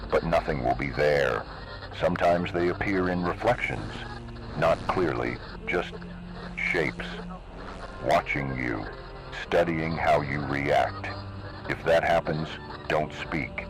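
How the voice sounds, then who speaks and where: A man voicing a cold, menacing emergency broadcast announcer in slow, measured, ominous narration. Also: a bass voice. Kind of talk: scripted analog horror narration. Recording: noisy analog video recording.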